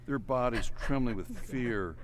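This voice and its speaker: A man's voice: mid-range voice, a little slow. A man narrating an audio drama, reading a scripted story in a dramatic storytelling style. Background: music.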